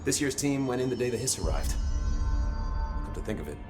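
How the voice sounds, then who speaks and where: A man's voice: medium pitch, very animated, fairly quick, over music, natural voice. A man playing a weary, wry security officer in a video game, talking in a casual, grumbling, hesitant way with dry humor. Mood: wry, explanatory. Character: weary, foul-mouthed male security officer, wry and chatty. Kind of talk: naturalistic video game dialogue.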